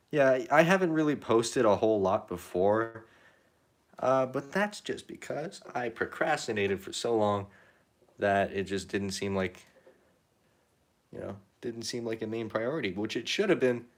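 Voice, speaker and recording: A young man chatting casually while painting on a live stream, rambling and self-deprecating, his pitch rising at the ends of phrases. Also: animated, a little slow, long pauses. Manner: rambling, self-deprecating, reads chat comments aloud. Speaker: painting livestreamer.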